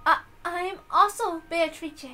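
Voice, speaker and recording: A woman's voice: extremely high pitch, steady tone, unhurried, sharp tone, soft background music, exaggerated voice. A woman voicing a timid, earnest newborn witch with a hesitant, polite manner in a visual novel reading. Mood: nervous, polite.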